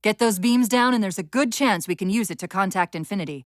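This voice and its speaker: A woman playing a brisk, wry, urgent military AI companion in a video game, giving crisp commands and tactical briefings. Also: mid-range voice, no room sound, studio quality, natural voice. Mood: brisk, focused.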